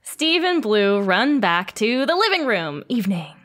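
Lively woman reading stage directions. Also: a little high, a little slow. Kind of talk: comedic table read.

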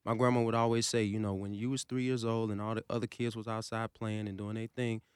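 A man's voice: fairly low pitch, flat delivery, smooth. A man, a singer, telling stories as a guest in a studio interview, filling his talk with "you know". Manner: fast storytelling, many "you know" fillers. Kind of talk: casual interview. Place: podcast studio.

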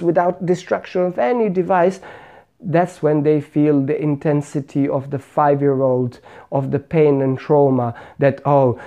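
A middle-aged man delivers a motivational podcast talk. His voice is mellow and warm, and he works through long, earnest lists that circle back on the same point. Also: fairly high pitch. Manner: earnest, repetitive listing, preachy. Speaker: podcast host and mindset coach. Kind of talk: motivational monologue.

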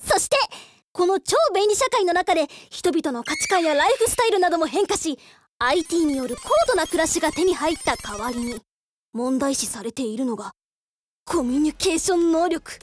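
Female actor as breezy official hostess; very high, lively, theatrically polite announcing for radio drama. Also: fairly quick, a little hiss or hum. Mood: grand narration. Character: breezy, officious government discussion-room moderator woman. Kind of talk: radio drama narration and acting.

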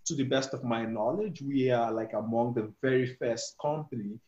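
A man's voice: mid-range voice, moderate pace, darkish tone. Man from Nigeria, echoey muffled line. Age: 20s. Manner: hesitant, many ums and restarts. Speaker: guest, physics PhD student and startup co-founder. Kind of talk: podcast interview.

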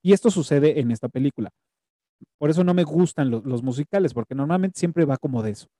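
Man with a very dark, dry voice. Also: a little high, animated, fast, no room sound. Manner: hesitant, restarts words often. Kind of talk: casual film review chat.

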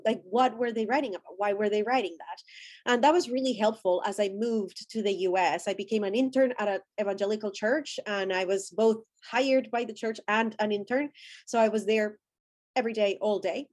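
A woman's voice: medium pitch, darkish tone. A woman from Colombia with a Colombian Spanish accent, a native Spanish speaker, talking earnestly as a podcast guest and explaining ideas with quoted inner voices. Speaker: podcast guest, ordained minister. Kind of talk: conversational interview.

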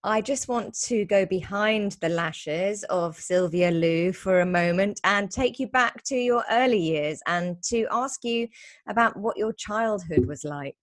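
A woman's voice: medium pitch, measured pace, dry recording. A woman hosting a podcast interview, asking questions in a chatty, encouraging way. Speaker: podcast host.

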